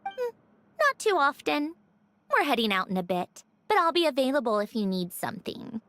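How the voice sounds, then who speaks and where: An actress playing a proud, coolly courteous mechanical woman of high rank in a video game, speaking in formal, precise phrases. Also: sing-song, a bit bright, no room sound, heavily acted. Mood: matter-of-fact. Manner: formal, measured, precise wording.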